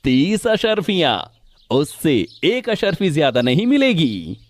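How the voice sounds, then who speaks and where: A man playing a cunning, grasping farmer in a cartoon moral story, with drawn-out, exaggerated, wheedling and domineering delivery. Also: fairly high pitch, big pitch swings. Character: cunning, grasping male farmer haggling over oxen.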